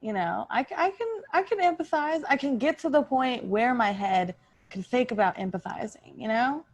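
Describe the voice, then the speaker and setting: A woman's voice: on the high side, animated, normal speed. A woman playing a gushing, self-absorbed TV starlet in improvised comedy, effusive and earnest, often repeating herself. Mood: earnest, puzzled.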